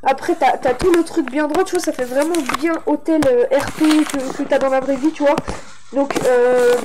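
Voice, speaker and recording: A woman's voice: high-pitched, fairly even intonation, normal speed, noisy background. A young woman with a stuffy head cold, rambling through a casual showcase of a game map for her channel, with many fillers and restarts. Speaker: gaming YouTuber.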